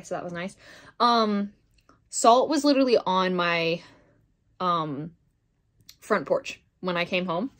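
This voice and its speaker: A woman's voice: lowish voice, fairly bright tone. A woman chatting informally in a video diary, rambling and correcting herself as she goes. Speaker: daily vlogger. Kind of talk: casual video diary chat.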